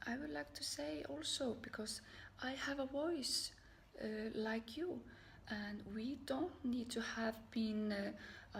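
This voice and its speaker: A woman teaching yoga and energy healing, talking to camera in an earnest, halting way with many fillers and soft, half-whispered phrases. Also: medium pitch, creaky, a little hiss or hum. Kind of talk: unscripted talk to camera.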